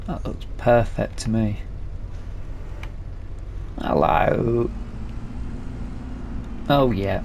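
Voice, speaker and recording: A British man hosts a gaming let's play in an unhurried, creaky voice. He talks casually, half to himself, as he works through the game. Clear noise from game vehicles sits behind his voice. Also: fairly low pitch, darkish tone. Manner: muttering to himself while playing. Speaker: gaming video host.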